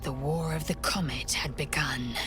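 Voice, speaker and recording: A woman's voice: low voice, fairly even intonation, moderate pace, over music, lightly acted. A woman playing a fierce, proud, zealous alien warrior in a video game, speaking in an imperious, clipped and solemn way. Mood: solemn, reverent. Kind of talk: dramatic video game acting, reciting a legend.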